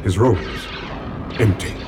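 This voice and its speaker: Cold dark lord, radio drama villain, measured, scornful, low-pitched. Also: male voice, heavy background noise. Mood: coldly scornful. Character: menacing dark lord, cold commanding man.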